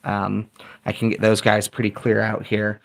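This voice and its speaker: A man casually chatting while tuning a shortwave radio, reading viewer comments and thinking aloud in a relaxed, rambling way. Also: lowish voice, moderate pace, creaky.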